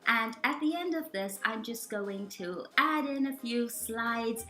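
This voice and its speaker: Casting: woman, lively tutor self-intro, echoey room with faint music. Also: fairly high pitch, a little slow. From South Africa. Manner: lively, emphatic, repeats phrases for effect. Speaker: language tutor.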